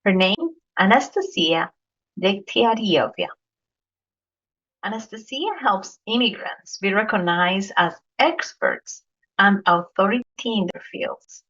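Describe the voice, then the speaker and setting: A woman's voice: mid-range voice, lively intonation, slow pace, fairly bright tone, slightly rough. Woman podcast host, muffled lo-fi sound. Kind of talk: reading a guest introduction, then interviewing. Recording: dull lo-fi audio.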